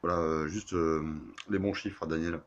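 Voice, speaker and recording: A man's voice: deep voice, fairly even intonation, normal speed, lo-fi sound. A man who is an amateur radio operator, chatting and muttering casually in a blunt, sweary, grumbling way.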